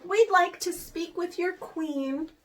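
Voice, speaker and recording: A woman voicing an emotional, wrongly accused female raven from a storybook, with emphatic, drawn-out protests. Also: extremely high pitch, pitched above the performer's own voice. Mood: determined.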